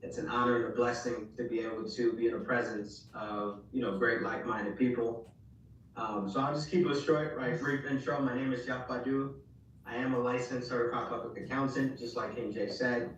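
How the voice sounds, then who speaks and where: A man from Ghana introducing himself as a guest accountant in a steady, polite, businesslike way. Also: mid-range voice, normal speed, very echoey, far from the mic, lo-fi sound, a little hiss or hum. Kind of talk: self-introduction on a web call.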